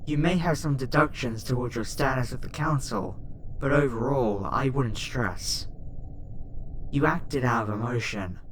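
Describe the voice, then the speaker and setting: Woman as a measured, formal spaceship AI. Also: rumbling low, poor audio. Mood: reassuring. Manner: measured, formal, gently reassuring. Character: caring, formal spaceship AI assistant, calm and matter-of-fact. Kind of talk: ASMR roleplay audio drama. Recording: background noise, ambience.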